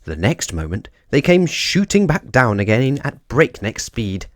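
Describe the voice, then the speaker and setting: A man narrating a children's storybook as an expressive storyteller. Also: medium pitch, measured pace, no room sound, clean studio sound.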